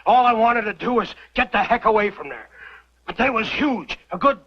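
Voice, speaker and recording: A man acting in an old-time radio western as an excitable, folksy prospector with a rural American accent. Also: high-pitched, normal speed, breathy edge, heavily acted. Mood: awed. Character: excitable, rustic Western prospector, wide-eyed. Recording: noisy, dull old radio recording.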